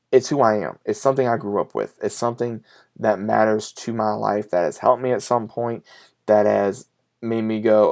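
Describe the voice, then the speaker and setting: A man in his late twenties chatting casually and unscripted to his viewers, speaking earnestly and emphatically, with repeated phrasing and frequent pauses. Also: lowish voice, vocal fry, darkish tone. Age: late 20s. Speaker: YouTube vlogger and collector.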